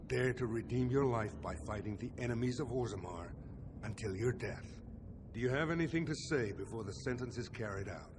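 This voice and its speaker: A man's voice: mid-range voice, a little fast, noisy background, lightly acted. A man playing a stern, honourable dwarf lord in a video game, speaking with formal authority. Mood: stern, solemn. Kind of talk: video game cutscene acting. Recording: music under the voice.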